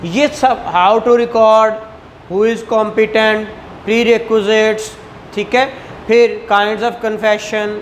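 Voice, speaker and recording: High-pitched Pakistani man lecturing slowly. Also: a little hiss or hum, low-quality recording. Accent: Pakistani Punjabi. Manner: didactic, repetitive, questioning the students. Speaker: law lecturer. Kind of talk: classroom lecture, code-switching into English terms.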